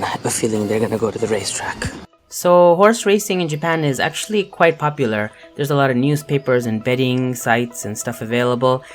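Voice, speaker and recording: A young man with a hoarse voice from a cold, chatting loosely through a travel vlog with lots of fillers. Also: medium pitch. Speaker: vlogger. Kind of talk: casual travel vlog narration.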